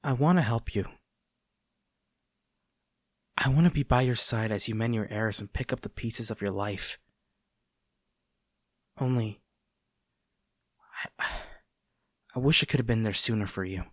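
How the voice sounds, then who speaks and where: A man playing a conflicted heroic captor in a dramatic audio roleplay, earnest and halting, tender toward an old friend turned enemy. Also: lowish voice, no echo, studio quality, natural voice. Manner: earnest, halting, formal wording with dramatic pauses.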